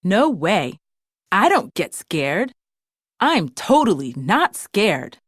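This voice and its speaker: A woman's voice: medium pitch, very animated, takes it slowly, husky, no room sound, studio quality, heavily acted. A woman voicing a nervous male toy bouncy ball in a storybook, boyish and hesitant, full of bravado. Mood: defensive. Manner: hesitant, protesting, putting on bravado.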